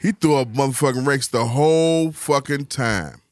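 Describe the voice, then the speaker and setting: Man, forties, halting, repeats himself, steady mid voice, dry mic. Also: medium pitch, dry recording. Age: 40s. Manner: halting, repeats words, laughs often. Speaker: podcast host. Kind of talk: casual chat.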